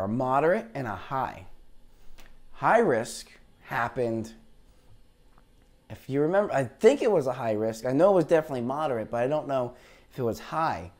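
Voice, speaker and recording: Hoarse-voiced man, rambling weather chat. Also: on the high side, a little mellow. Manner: chatty, rambling, unsure asides. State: tired, low voice after heavy talking. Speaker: meteorologist hosting a live weather stream. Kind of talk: casual live-stream weather talk. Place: green screen studio, indoors. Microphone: lavalier clip mic.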